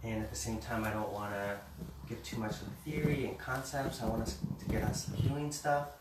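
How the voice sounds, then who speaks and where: A man in his forties from Mexico City, leading a workshop in a gentle, unhurried way. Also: fairly low pitch, steady tone. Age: 40s. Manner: gentle, unhurried, reads poem slowly. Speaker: communication trainer. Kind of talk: relaxed workshop talk with a poetry reading. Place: meeting room with a live group. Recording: roomy, distant, faint hiss.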